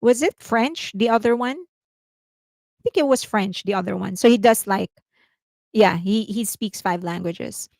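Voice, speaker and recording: A woman's voice: medium pitch, unhurried, vocal fry, a little mellow, no echo. A Filipino woman with a Filipino accent, hosting a casual commentary stream, chatty and explanatory, reading comments aloud and laughing often. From Philippines. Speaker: host.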